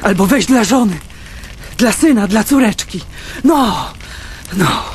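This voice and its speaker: An actress playing a generous bombing survivor in a radio play, consoling her family with gentle, halting encouragement. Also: mid-range voice, lively intonation, slight background noise. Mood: consoling, weary.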